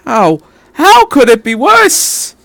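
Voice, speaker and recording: A man's voice: very high-pitched, huge pitch swings, slow pace, vocal fry, a bit bright, no room sound, voice pushed up, full character voice. A man voicing a distraught, guilt-ridden teenage boy in a fan story, pleading and stammering shakily. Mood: alarmed.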